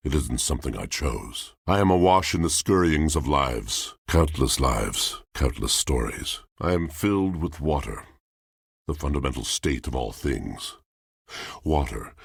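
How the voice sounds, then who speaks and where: Game role: melodramatic, poetic machine with a brooding soul, bottomless gravelly bass, courtly and grandiloquent. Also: male voice, dry recording, studio quality, exaggerated voice. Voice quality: very deep, gravelly, husky. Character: brooding, theatrical male washing machine, poetic romantic. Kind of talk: video game character acting.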